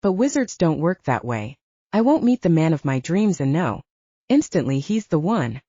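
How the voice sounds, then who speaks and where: A woman's voice: low-pitched, very animated, moderate pace, dark tone, slightly rough, dry recording, muffled recording, exaggerated voice. A woman voicing a cheeky, flirty male wizard who talks in a teasing, breezy way. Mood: teasing, wistful.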